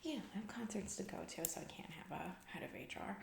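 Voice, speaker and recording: A woman's voice: medium pitch, expressive, quick pace, vocal fry. A woman plays a callous, scatterbrained corporate boss lady in a comedy ASMR roleplay. Her voice is a crackly whisper in a noticeably roomy, echoing space. She rambles breezily in office jargon and trails off, absorbed in herself. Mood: distracted, self-absorbed.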